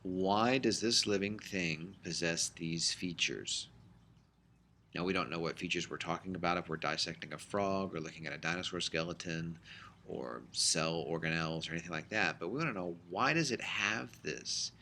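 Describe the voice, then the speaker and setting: A middle-aged man teaching a high school biology class in a recorded lecture, explaining in a conversational way with frequent fillers. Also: moderate pace, pushed and bright, slight background noise.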